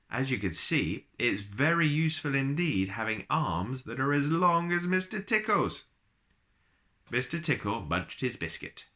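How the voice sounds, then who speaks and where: A man reading a children's storybook aloud in an expressive, playful way, with chatty asides to the listener. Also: medium pitch. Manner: playful, addresses listeners directly.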